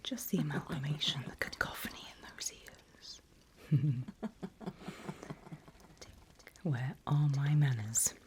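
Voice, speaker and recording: A woman whispering an ASMR roleplay as a seasoned demon mentor, slow and deliberate, with playfully sly menace, close to the microphone. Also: low voice.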